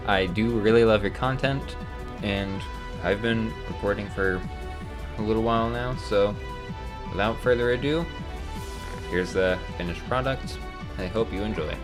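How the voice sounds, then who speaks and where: A man's voice: fairly low pitch, measured pace, pauses often, over music. Young man chatting with self-deprecating rambles. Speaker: channel host. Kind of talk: casual chat intro.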